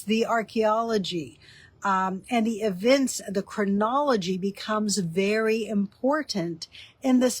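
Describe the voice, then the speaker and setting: A woman with a slightly nasal, stuffy voice from a cold, explaining biblical history in a relaxed teaching talk with frequent ums. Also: medium pitch, no room sound. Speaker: author and teaching host.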